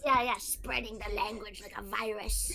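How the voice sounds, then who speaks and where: A woman's voice: mid-range voice, steady tone, normal speed, creaky, sharp tone. A young woman chatting as a podcast guest, giggling often between phrases. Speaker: guest streamer. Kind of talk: casual podcast chat.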